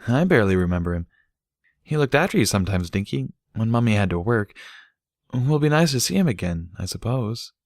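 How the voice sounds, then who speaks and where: Man playing grey mare, motherly, absent-minded, gentle, lifted pitch, storybook. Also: big pitch swings, brisk, dry recording, clean studio sound.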